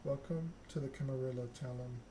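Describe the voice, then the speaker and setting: Man reading as stern, imperious vampire ruler, evenly measured. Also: mid-range voice, fairly even intonation, noisy background, lightly acted. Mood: commanding. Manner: formal, imperious, deliberate. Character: stern, formal vampire prince holding court, a woman.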